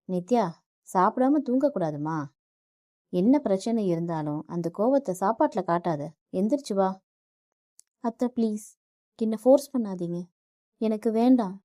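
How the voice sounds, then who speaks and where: A woman voicing an angry young wife who shouts at her husband and later pleads through tears. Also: mid-range voice, no room sound, clean studio sound, pitched above the performer's own voice, lightly acted. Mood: tearful. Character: young wife, hurt and defiant.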